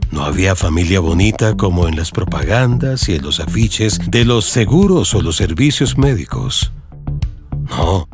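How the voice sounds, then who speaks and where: Deep-voiced man narrating solemnly over music. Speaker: audiobook narrator. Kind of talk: scripted dramatic literary narration. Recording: music behind the voice.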